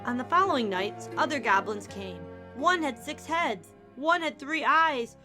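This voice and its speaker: A woman's voice: high voice, animated, a little slow, music in the background. A woman narrating a children's story as a dramatic storybook reading.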